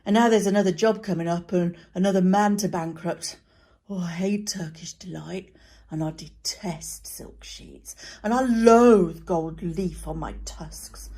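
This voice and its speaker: A woman's voice: fairly low pitch, unhurried, mellow. A woman voicing a mournful, gloomy male elephant from a storybook, sighing and complaining with self-pity.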